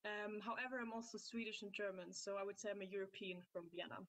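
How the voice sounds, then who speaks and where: A woman in her twenties from Austria, giving an earnest webinar presentation over a video call and pausing now and then to find her words. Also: medium pitch, steady tone, a bit bright. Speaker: youth climate activist presenting. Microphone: computer mic on a video call.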